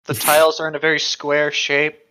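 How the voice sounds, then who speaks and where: A man's voice: a little high, monotone, a little fast, bright, forceful tone, slight background noise. A man co-hosting a podcast, reading stories and chatting casually on a lo-fi microphone. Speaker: podcast co-host. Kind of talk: story reading and casual chat.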